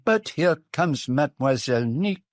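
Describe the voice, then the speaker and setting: An actor playing a courteous, shrewd Belgian detective with a French-speaking accent and precise, theatrical phrasing in a radio drama. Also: male voice, a little high, expressive, moderate pace, no room sound, clean studio sound, lightly acted. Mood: shrewd, teasing. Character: dapper Belgian detective, courteous, shrewd, self-assured middle-aged man.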